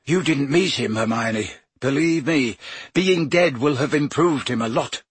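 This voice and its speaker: A man's voice: medium pitch, unhurried, breathy edge, no room sound, pitched above the performer's own voice. A man voicing an indignant teenage boy wizard, blunt and exasperated, in an audiobook.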